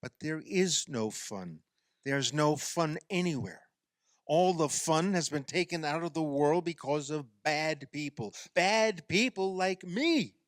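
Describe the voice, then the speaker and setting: A man acting in a radio play as a self-pitying, sarcastic amateur ball player who speaks in an exasperated, halting way. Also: on the high side, expressive, no echo, clean studio sound. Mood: exasperated, sulky.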